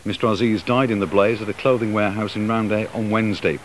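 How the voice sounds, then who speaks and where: A man's voice: lowish voice, steady tone, fast talker. A middle-aged man reading a formal news bulletin in a studio. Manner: formal, crisp bulletin delivery. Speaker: news anchor. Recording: old broadcast with faint hiss.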